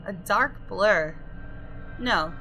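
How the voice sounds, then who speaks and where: A woman's voice: medium pitch, extremely slow, pushed and bright, soft background music, poor audio. A woman reading visual novel narration aloud as a gaming video creator, in a dramatic storytelling way with casual asides.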